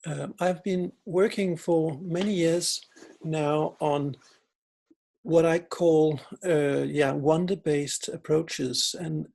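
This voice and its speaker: Danish-accented male academic, slightly raised pitch, reflective lecture delivery with restarts. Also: vocal fry, a little mellow, slightly rough. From Denmark. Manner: reflective, searching for words, frequent restarts. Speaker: philosopher and researcher. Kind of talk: conference talk.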